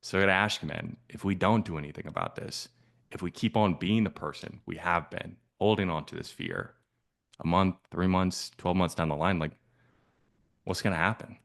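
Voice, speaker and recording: A man's voice: deep voice, normal speed, gravelly, a bit bright. A man coaching on a sales call, asking probing, persistent questions in a casual way.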